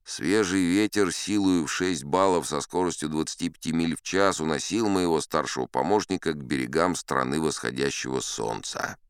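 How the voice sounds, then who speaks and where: An actor playing an old sea captain who spins tall tales in a dramatised audiobook, boastful and unflappable, with theatrical asides and dramatic pauses. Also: male voice, rumbling low, clean tone, dry recording, studio quality. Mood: rueful, wry.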